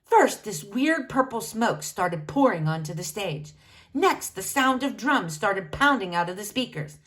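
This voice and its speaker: Animated, high-pitched woman reading to children. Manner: addresses young listeners directly, animated. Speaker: storybook reader for children. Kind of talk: expressive children's read-aloud narration.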